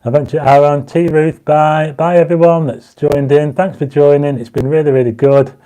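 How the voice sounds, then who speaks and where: A man hosting a casual livestream, reading out viewer comments and pushing for likes, his mouth dry and his voice going croaky. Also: on the high side, fairly even intonation, normal speed, dark tone. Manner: reads viewer comments aloud, urges likes; enthusiastic when explaining stars. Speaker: livestream host. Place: home astronomy studio.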